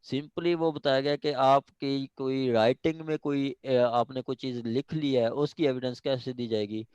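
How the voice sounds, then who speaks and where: A man's voice: medium pitch, fairly even intonation, darkish tone, smooth, dry recording. Pakistani man lecturing, dull lo-fi recording. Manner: switches between reading formal English haltingly and explaining conversationally. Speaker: law lecturer. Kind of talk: informal lecture explaining a legal text clause by clause.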